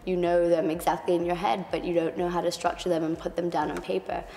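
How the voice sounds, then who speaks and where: A woman's voice: fairly low pitch, little pitch movement, fast talker, creaky, dark tone, in a large hall. A young woman who grew up in Hong Kong, talking thoughtfully and measuredly as a first-year student in a documentary interview. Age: young adult.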